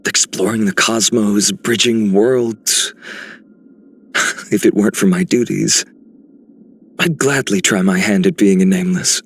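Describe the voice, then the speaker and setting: A noble game hero with a low, rough-edged husky voice. He speaks in formal, earnest phrases suited to a fantasy epic. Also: unhurried, pauses often. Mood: warm, earnest. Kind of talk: scripted game character voice lines.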